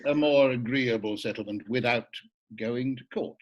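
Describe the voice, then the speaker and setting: An actor playing a measured, blunt, businesslike male lawyer in a script read over a video call. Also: mid-range voice, highs cut off, close to an everyday voice. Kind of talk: acted script table read.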